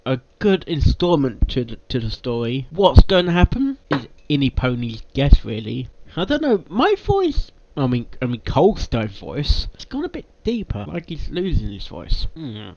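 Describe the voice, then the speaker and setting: A man hosting a casual reaction, talking in a halting, rambling way. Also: medium pitch, noisy background. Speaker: reaction host. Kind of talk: casual spoken reaction commentary. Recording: dull, lo-fi home recording.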